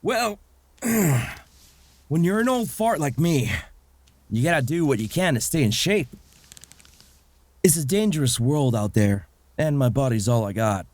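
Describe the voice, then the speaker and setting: A man's voice: a little high, big pitch swings, a little slow, pauses often, a little mellow, no echo. A man playing an easygoing, self-deprecating middle-aged father in a comic dub, with a casual, reflective way of talking. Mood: casual, wry. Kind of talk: amateur comic dub acting.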